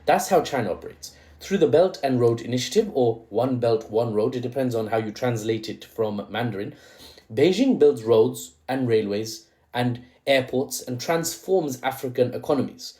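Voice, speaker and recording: Mellow-voiced, opinionated British commentator. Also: male voice, medium pitch, expressive, normal speed. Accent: British, with a mixed Indian-tinged lilt. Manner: chatty, opinionated, with rhetorical asides and slang. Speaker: online political commentator. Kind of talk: casual commentary video.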